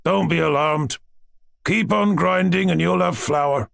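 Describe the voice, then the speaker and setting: A man's voice: on the high side, unhurried, creaky, fairly bright tone, no room sound, clean studio sound, pitched above the performer's own voice, heavily acted. A man voicing a reassuring, folksy household servant in a storybook, plain-spoken and breezily dismissive.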